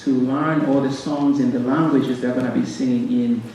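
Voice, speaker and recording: A man with a Ugandan accent giving a halting welcome speech as director of a music ensemble, with frequent pauses and repeated words. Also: mid-range voice, fairly even intonation, dark tone. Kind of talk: welcome speech to a concert audience. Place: concert stage in a hall. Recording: very echoey hall, distant mic, faint noise.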